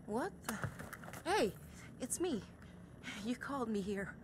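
Wary hacker, noisy background. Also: female voice, fairly high pitch, big pitch swings, slow pace. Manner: direct, questioning. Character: wary, questioning female hacker accomplice. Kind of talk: cinematic video game acting.

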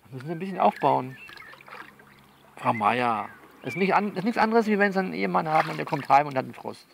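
Man acting a gravelly, frank phone coach. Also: on the high side, lively intonation, a little hiss or hum. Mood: calm, coaxing. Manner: colloquial, blunt, coaxing, with repeats and pauses. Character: man coaching a female client over the phone, frank and worldly. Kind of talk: improvised-sounding one-sided phone monologue in an audio novel.

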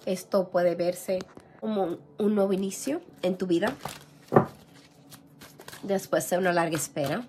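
Hoarse woman reading tarot cards. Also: on the low side, unhurried, pauses often, music in the background. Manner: gentle, clears throat often, many fillers. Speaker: tarot reader. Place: indoor room with a tarot table. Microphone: camera over table, hands seen.

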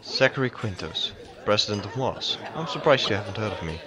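Guy doing a pushy impatient politician, lowish voice, lively, party noise behind. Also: brisk, a crowd in the background, natural voice. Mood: self-important. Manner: impatient, self-important. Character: impatient pushy male planetary president.

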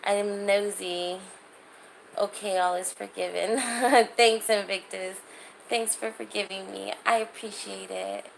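Slow-talking, gravelly woman chatting on livestream. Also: mid-range voice, fairly even intonation, a little hiss or hum, rough recording. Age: 30s. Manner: chatty, informal. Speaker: livestream host. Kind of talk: casual livestream chat, reading and answering comments. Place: small indoor room. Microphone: phone at arm's length.

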